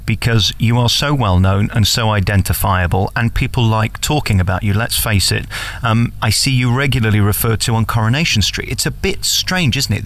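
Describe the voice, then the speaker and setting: A man interviewing a guest in a conversational, chatty style, flattering and leading with his questions. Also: medium pitch, creaky.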